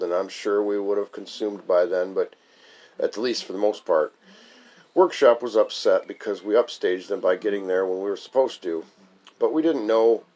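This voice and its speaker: A man's voice: on the low side, darkish tone. A man reading his own memoir aloud as a wry, amused storyteller. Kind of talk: memoir recitation, narrated reading.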